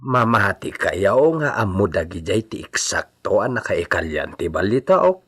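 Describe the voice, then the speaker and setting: Man acting a low, husky, loyal servant. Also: very animated, moderate pace, fairly bright tone, exaggerated voice. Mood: concerned. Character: loyal, cautioning servant addressing his master. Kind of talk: radio drama acting.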